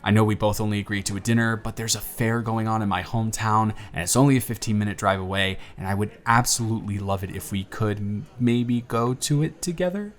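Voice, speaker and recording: A man's voice: fairly low pitch, brisk, hardly any pauses, natural voice. A man playing a giddy, smitten young man on a blind date, bantering playfully in a one-sided romantic audio roleplay. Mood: tender, nervous. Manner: animated, bantering, playful storytelling with stammers.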